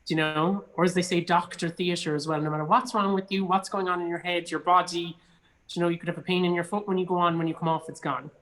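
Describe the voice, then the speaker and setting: A man's voice: sits high, flat delivery, quick pace, fairly bright tone, low-quality recording. A man from Cork with an Irish accent, an actor chatting casually and telling anecdotes as an interview guest. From Cork, Ireland.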